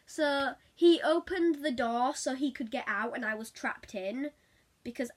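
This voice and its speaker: Female storyteller for a casual vlog, higher-pitched voice, animated and giggly delivery. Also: a little slow. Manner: animated, giggly, acts out quotes. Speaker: vlogger telling a story.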